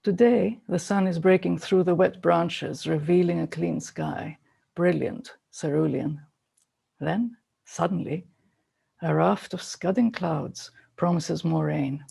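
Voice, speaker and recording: A man reading a poem aloud with measured, reflective phrasing. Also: a little high, steady tone, vocal fry, dark tone, breathy edge. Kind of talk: poetry reading.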